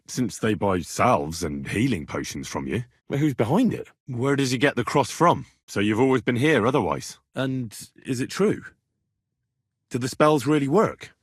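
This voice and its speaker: An amiable young medieval man for a video game, inquisitive and plain-spoken. The voice is slightly rough at a medium pitch, with very mobile intonation. It is a close, dry recording with a conversational feel. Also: dry recording. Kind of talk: video game dialogue voice acting.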